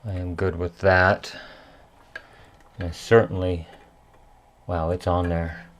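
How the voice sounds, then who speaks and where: A man talking casually through a hands-on repair as he works, halting and muttering to himself. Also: deep voice, level tone, slight background noise. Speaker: electronics repairman presenting his repair.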